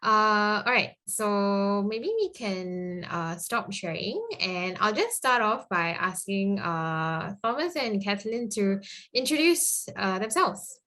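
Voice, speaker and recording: A woman's voice: medium pitch, animated, takes it slowly, bright, forceful tone. A woman hosting an online talk, introducing the guests in a friendly, upbeat way with frequent fillers. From Singapore. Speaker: host, moderator. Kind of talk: event moderation.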